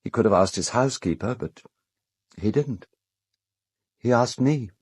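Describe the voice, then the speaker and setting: An actor with a British accent playing an urbane, cold, self-justifying gentleman in an audio drama, speaking in a measured, articulate, dryly ironic way. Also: medium pitch, expressive, slightly rough, no echo. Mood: wistful, reflective.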